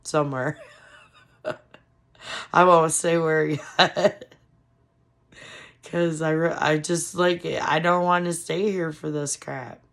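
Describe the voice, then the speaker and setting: A woman giving casual, frustrated political commentary on her vlog, hoarse from losing her voice. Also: low-pitched, steady tone, drawn-out words, pauses often, a bit bright. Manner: frustrated, ranting. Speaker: commentary vlogger.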